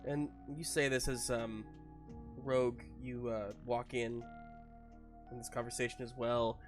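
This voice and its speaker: A man running a tabletop roleplaying game, improvising narration and characters in a halting, searching way. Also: mid-range voice, level tone. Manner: halting, searching delivery with repeated words. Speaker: game master. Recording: music running behind the voice.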